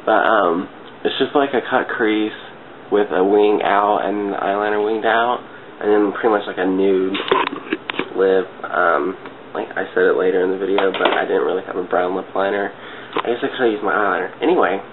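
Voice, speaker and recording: Stuffy-nosed, low-voiced man on muffled audio. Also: normal speed. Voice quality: stuffy, congested nose. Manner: informal, giggly, loosely rambling. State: has a cold. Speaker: makeup tutorial vlogger. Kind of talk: casual chatty makeup tutorial. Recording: muffled phone-like webcam-style audio, clear noise.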